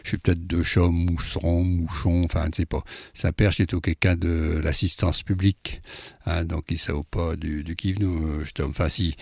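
Deep gravelly man. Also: dry recording. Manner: halting, chatty, tags phrases with "hein". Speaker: speaker presenting a dialect poet. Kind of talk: informal talk to an audience.

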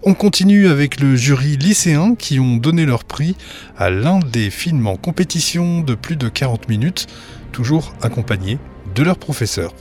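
A man narrating a news report in a smooth read delivery. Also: medium pitch, lively intonation, normal speed, darkish tone, soft background music.

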